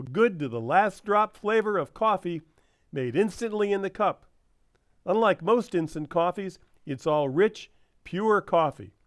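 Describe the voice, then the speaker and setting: A man's voice: high voice, no echo, studio quality. A man working as an announcer, reading in the style of 1950s radio sign-offs and sponsor spots.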